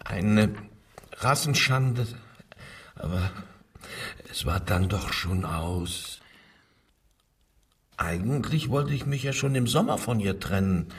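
An actor playing an evasive, servile official who pleads and justifies himself under interrogation in a radio crime drama. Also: male voice, lowish voice, expressive, slow pace, long pauses, slightly rough, recorded from a distance, heavily acted. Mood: anxious, contrite.

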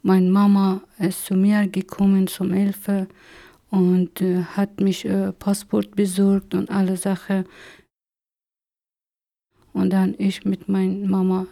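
A middle-aged woman from Afghanistan with an Afghan accent, haltingly telling her personal story with many pauses. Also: mid-range voice, completely flat delivery, vocal fry, mellow. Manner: halting, many hesitations, slow and searching for words. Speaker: interviewee. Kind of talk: personal testimony.

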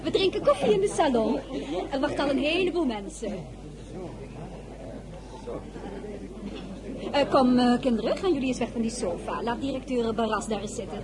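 Chattering society lady played by an actress, high animated voice, radio drama amid salon chatter. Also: fast, natural voice. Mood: brisk, hosting. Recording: busy salon chatter behind.

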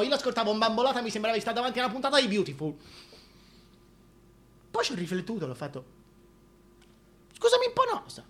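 A man playing a scheming, chatty single mother in a comic radio monologue, with a Tuscan accent and colloquial delivery. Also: sits high. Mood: gossipy, mocking, then sly, needling. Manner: gossipy colloquial storytelling. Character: chatty, scheming single mother, a shameless working-class opportunist.

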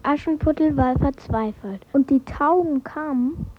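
A high child's voice retells a fairy tale slowly and simply from memory. The cassette recording is very thin, like a phone line. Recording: very narrow, phone-like cassette sound.

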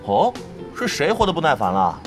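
An actor playing a reckless, loyal, none-too-bright young male brawler with a bombastic, mock-heroic manner in a radio drama. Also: a little high, huge pitch swings, normal speed. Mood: cocky. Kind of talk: radio drama comic acting. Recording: music bed behind voice.

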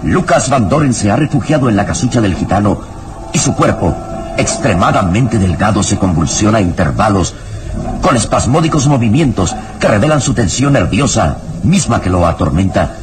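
A man's voice: on the low side, sing-song, moderate pace. A man narrating an old radio serial in a grave, ornate, suspenseful style. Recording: noisy old radio drama recording.

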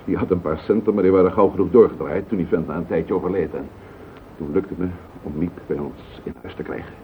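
An actor playing a callous, scheming murderer in a radio play, blunt and cynical, with a gravelly voice. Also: male voice, low-pitched, quick pace, close to an everyday voice. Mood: defiant. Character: callous scheming wife-murderer confessing without remorse. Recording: tinny, noisy old radio play recording.

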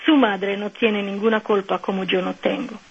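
Italian woman guest, husky voice on a dull phone line, halting speech with fillers. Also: mid-range voice, flat delivery. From Italy. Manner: hesitant, many fillers, searching for words. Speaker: nun and guest. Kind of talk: radio phone interview.

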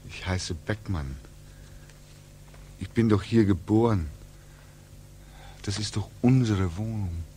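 An actor playing a homesick, brooding young man back from war in a 1940s radio drama, with halting, repetitive, anguished speech. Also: fairly low pitch, dark tone, a little hiss or hum. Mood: bewildered, pleading. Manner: halting, repetitive, swinging into agitated questioning. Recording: 1940s radio recording, music behind.

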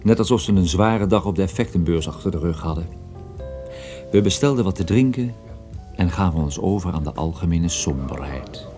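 A man's voice: low-pitched, lively intonation, moderate pace, warm tone, soft background music. An actor playing a worldly private detective, narrating his own case in a wry, understated way for a radio drama. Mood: wry, atmospheric. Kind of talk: scripted first-person radio drama narration.

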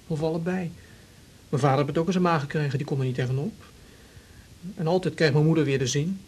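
An actor playing a shy, troubled young man, speaking haltingly in a naturalistic radio drama. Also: a little high, darkish tone, breathy edge. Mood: embarrassed, aggrieved. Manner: hesitant, halting. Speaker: patient in a radio serial.

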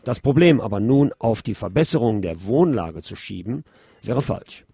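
A middle-aged man hosting a radio show, reading news commentary in a measured, opinionated way. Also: lowish voice, big pitch swings, gravelly. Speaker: radio host.

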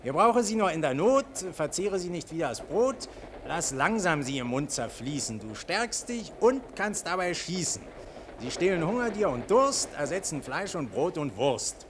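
A jovial salesman with a highish, swooping voice. He gushes and declaims proudly, in a 1940s radio play with faint recording noise. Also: sing-song, normal speed. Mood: declaiming. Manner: gushing, declamatory. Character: jovial, boastful travelling salesman in patriotic raptures.

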